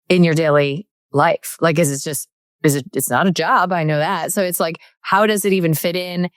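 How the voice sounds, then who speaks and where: Low-voiced woman host, effusive and rambling. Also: no echo, clean studio sound. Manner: effusive, rambling, many "like" and "right". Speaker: podcast host. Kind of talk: interview introduction.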